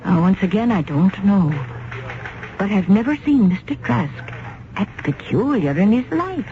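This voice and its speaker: An actress playing an anxious, loyal middle-aged secretary who talks in a hesitant, flustered way, in a 1970s radio drama. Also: female voice, mid-range voice, sing-song, a little slow. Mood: worried. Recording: lo-fi vintage radio recording, faint hiss.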